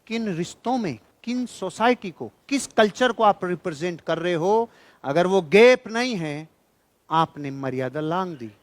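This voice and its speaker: Higher-voiced man giving a motivational speech. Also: expressive, unhurried, dry recording. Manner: emphatic, admonishing, repeats phrases for effect. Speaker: motivational speaker. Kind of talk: motivational speech, preaching to an audience.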